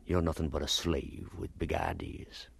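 A domineering, scornful schoolmaster for radio drama. The voice is low and very expressive in pitch. He is sarcastic and stern, sneering theatrically. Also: moderate pace, vocal fry, slightly rough, full character voice. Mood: contemptuous.

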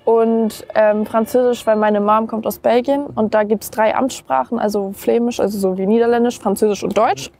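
A young woman singer from Germany chatting casually in an outdoor interview, relaxed and laughing easily, slipping English words into her talk. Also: on the high side, moderate pace, hardly any pauses. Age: young adult. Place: outdoors on steps by a river.

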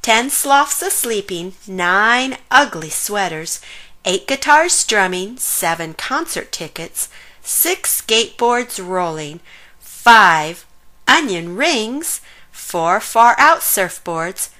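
A woman reading a rhyming children's picture book aloud in an animated, sing-song way with drawn-out emphasis. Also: medium pitch. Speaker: children's story reader.

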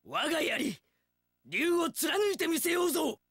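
An actor playing a young, hot-blooded, loyal samurai in an action game, bellowing earnestly in archaic warrior speech. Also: male voice, very high voice, sing-song, a little slow, fairly bright tone, dry recording, studio quality, full character voice. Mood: fiery resolve. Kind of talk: Japanese action game voice acting.

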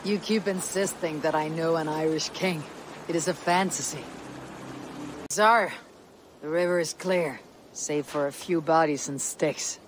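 Low, husky Viking warrior. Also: female voice, a little slow. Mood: firm. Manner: terse, plain-spoken, self-assured. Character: blunt, steady Viking warrior woman of action. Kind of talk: video game cutscene acting. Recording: outdoor ambience noise behind the voice.

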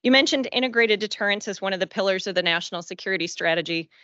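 Bright-voiced woman asking from the audience. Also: medium pitch, racing, no echo, highs cut off. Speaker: student officer asking a question. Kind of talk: audience question. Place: large lecture auditorium.

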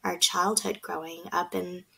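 A woman from Vietnam telling her family story in a relaxed podcast interview. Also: fairly low pitch, unhurried, gravelly, slightly rough, poor audio. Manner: earnest, reflective storytelling. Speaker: podcast guest, food business owner. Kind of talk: casual interview talk.

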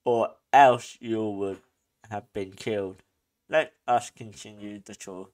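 A man's voice: fairly low pitch, fairly even intonation, takes it slowly, a little mellow, pitched below the performer's own voice. A man voicing an eccentric candy factory owner who leads a tour, in a showman's guide manner, as he plays a game. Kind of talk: voicing a game character over gameplay.

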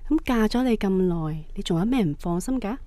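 A woman playing a devoted, soft-hearted middle-aged wife and daughter-in-law in a radio drama, tender and deferential. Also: mid-range voice, expressive, a little fast, dry recording, natural voice. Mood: teasing, affectionate.

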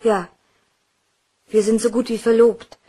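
A woman's voice: fairly high pitch, flat delivery, a little slow, warm tone, breathy edge. An actress playing a polite, slightly uneasy young female office worker in a crime radio play, answering carefully. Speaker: actor.

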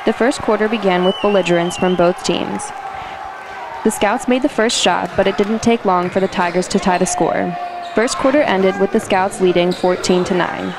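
A teenage girl reading a school sports report in a steady, scripted way. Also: medium pitch, moderate pace, crowd noise, noisy background. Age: teens.

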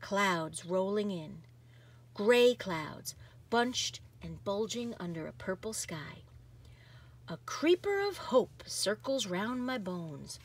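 A woman reading a picture book aloud in an expressive, dramatic manner. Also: mid-range voice, takes it slowly, husky. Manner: animated, dramatic, varies from hushed to exuberant. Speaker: storybook reader.

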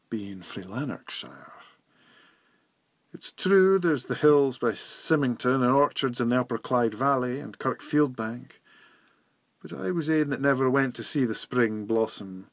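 A man with a Scottish accent reciting poetry in Scots dialect, measured and with dramatic pauses. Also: medium pitch, dry recording. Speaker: poetry reader.

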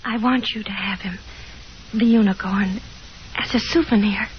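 An actress playing a shy, fragile young woman in a 1950s radio drama, speaking gently and hesitantly. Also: mid-range voice, steady tone, normal speed, slight background noise, close to an everyday voice. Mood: quiet, hurt. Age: young adult. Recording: dull 1950s radio broadcast, music behind.

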